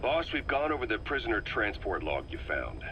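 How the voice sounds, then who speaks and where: An actor playing a composed, shrewd military intelligence officer in a video game, reasoning in a measured, persuasive way. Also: male voice, lowish voice, very animated. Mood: firm, matter-of-fact. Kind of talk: video game audio drama acting. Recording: noisy radio-like tape recording.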